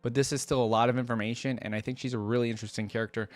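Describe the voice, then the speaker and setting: A man's voice: medium pitch, steady tone, rapid-fire, no echo. A man hosting a reaction video, musing aloud and addressing viewers in casual, conversational commentary.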